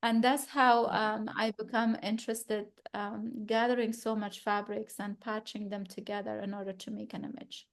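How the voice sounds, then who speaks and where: A woman's voice: medium pitch, level tone, moderate pace, few pauses. A woman in her thirties from Afghanistan, speaking thoughtfully about her work at a gallery talk, often restarting and searching for words. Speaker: exhibiting artist.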